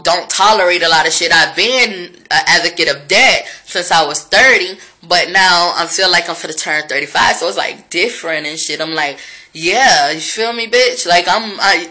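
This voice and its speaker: A woman's voice: fairly low pitch, bright, forceful tone. A woman, talking frankly and profanely to her viewers in a reflective vlog monologue. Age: 30s.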